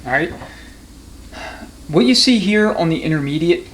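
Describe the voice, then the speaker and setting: A man's voice: a little high, expressive, moderate pace. Man explaining mechanical work, noisy background. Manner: matter-of-fact, explanatory. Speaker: transmission mechanic and instructor. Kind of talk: unscripted hands-on technical demonstration. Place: transmission workshop.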